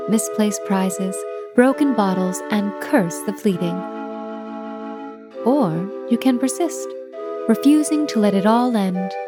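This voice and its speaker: A woman's voice: fairly high pitch, close to an everyday voice. Dreamy 1950s telephone operator played by a woman over music. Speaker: narrator. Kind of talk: poetic scripted narration.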